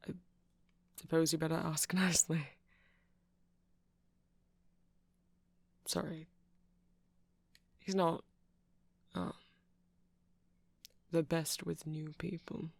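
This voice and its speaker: A man playing a nerdy, gentle vampire shop clerk in an ASMR roleplay, rambling in a hesitant, softly polite, apologetic way. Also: on the high side, little pitch movement, slow pace, creaky, pauses often, no echo, full character voice. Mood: apologetic, fond.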